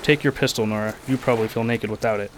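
A terse, principled man of action played by an actor in a radio play. He speaks very fast and bluntly over clearly audible background noise. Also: fairly low pitch, steady tone, natural voice. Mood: stern, assured. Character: terse, principled, take-charge male ship passenger. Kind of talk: radio drama acting.

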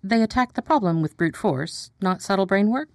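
An actress playing a brisk TV news presenter in a radio drama, probing and polished. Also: female voice, mid-range voice, lively intonation, darkish tone, dry recording, clean studio sound, lightly acted. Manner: brisk, probing broadcaster delivery. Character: slick female TV news presenter.

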